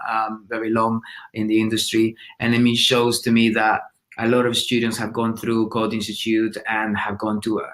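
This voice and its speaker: Low-voiced man answering, full of ums. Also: fairly bright tone. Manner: hesitant, many fillers and restarts. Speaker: student being interviewed. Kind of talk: casual interview answers.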